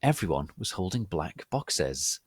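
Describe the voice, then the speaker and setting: A man hosting and reading aloud as a dramatic reading, formal, deliberate and gravely theatrical in a mock-solemn way. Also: low-pitched, big pitch swings, dry recording, clean studio sound. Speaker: host and reader.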